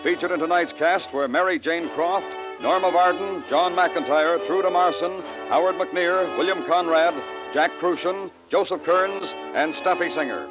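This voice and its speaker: A man's voice: fairly high pitch, moderate pace. A man announcing a 1950s radio program from a script. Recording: muffled vintage radio recording with music behind. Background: music.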